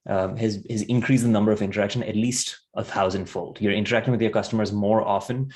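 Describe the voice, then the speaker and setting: A young man with a fairly low voice runs a live marketing webinar from his computer. He talks in a loose, rambling way, piling on fillers and restarting his sentences. The sound comes through a plain computer microphone. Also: steady tone, quick pace, a little mellow. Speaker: webinar host, content marketer. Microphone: computer mic on a live webinar.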